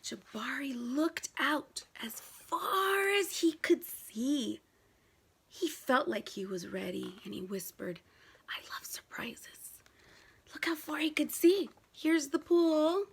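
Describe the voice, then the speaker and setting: A woman's voice: sits high, lively intonation, slow pace, creaky. A woman reading a picture book aloud to young children, asking them questions and repeating key words for emphasis.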